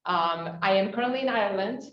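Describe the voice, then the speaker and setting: A woman's voice: medium pitch, brisk, slight background noise. A woman from Italy speaking up at a conference, earnest and friendly, with some fillers. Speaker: conference participant. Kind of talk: unscripted audience comment. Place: conference room. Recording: roomy, dull sound.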